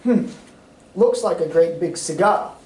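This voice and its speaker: Actor as loyal gentleman companion, echoey stage. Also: high-pitched, expressive, measured pace. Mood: curious. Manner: polite, questioning, formal. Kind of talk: old-time radio drama acting on stage. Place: community playhouse stage. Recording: echoey, distant stage recording, faint noise.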